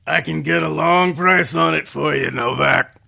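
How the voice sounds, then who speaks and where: An actor playing a menacing, gloating police detective in an old-time radio drama, needling and gravelly. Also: male voice, a little high, moderate pace, sharp tone, heavily acted.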